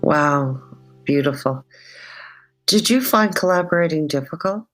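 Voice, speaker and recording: Female podcast interviewer, low voice, conversational. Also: expressive, unhurried, fairly bright tone, faint music behind. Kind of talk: interview chat.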